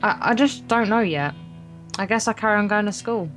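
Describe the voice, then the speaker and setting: A woman's voice: medium pitch, moderate pace, fairly bright tone, poor audio. A woman reading a visual novel aloud in an informal let's play, occasionally stumbling over the words. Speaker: guest co-player reading the narration aloud. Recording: guitar music behind the voice. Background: game's guitar music.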